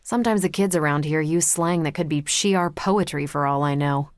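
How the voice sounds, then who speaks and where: A woman's voice: deep voice, normal speed, no room sound, studio quality, lightly acted. An actress voicing a confident, wry female superhero with an American accent in a video game, self-assured and conversational. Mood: warm, sincere. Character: confident, wry adult female superhero pilot, warm but tough. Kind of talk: video game character voice lines.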